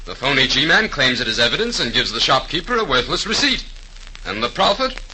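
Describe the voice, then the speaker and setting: A confident police detective in a vintage 1930s radio drama. He speaks briskly and with authority in a very bright, penetrating voice, over faint recording hiss. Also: mid-range voice, very animated, poor audio. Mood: assured, explaining. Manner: brisk, assured, tough-talking explainer. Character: confident, no-nonsense male police detective sergeant.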